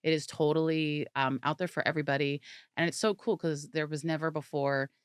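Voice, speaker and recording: Brief: woman comedian guest, low voice, animated and chatty, dry close sound. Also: fairly quick, smooth, no room sound. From California Bay Area. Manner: animated, chatty, laughs often. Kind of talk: casual interview chat.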